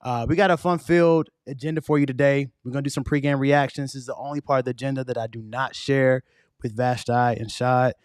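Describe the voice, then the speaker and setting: A man hosting a podcast in a casual, slangy way, his voice hoarse and fading. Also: medium pitch, steady tone, fast talker, dry recording, studio quality. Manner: laid-back, slangy, conversational. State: losing his voice. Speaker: podcast host.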